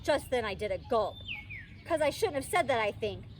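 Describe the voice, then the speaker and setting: A woman narrates a children's story in teacherly fashion. Her high voice moves up and down a lot, and there is clear noise around her outdoors. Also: lively intonation, moderate pace. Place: outdoors in a park. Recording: noisy outdoor recording.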